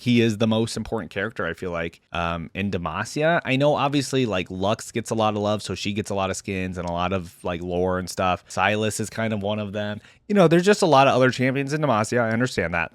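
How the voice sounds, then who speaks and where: A man's voice: lowish voice, no echo. Man, casual chatty commentary, dry close sound. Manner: chatty, rambling, conversational. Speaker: reaction video host.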